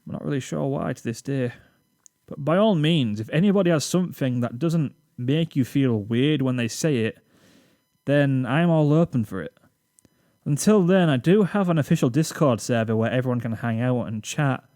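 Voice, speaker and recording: A British man speaks at a middle pitch, reading from a script in a rambling, self-deprecating way. He sounds a little awkward, as if unused to reading aloud. The recording is close and dry, made on a desk microphone. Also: animated, normal speed, a little mellow, no room sound. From Britain. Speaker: YouTube channel host. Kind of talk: scripted channel reflection.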